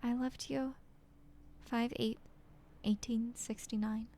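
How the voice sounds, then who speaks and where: A woman voicing a heartbroken young woman reading out her farewell letter in a halting, apologetic half-whisper. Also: a little high, steady tone, mellow.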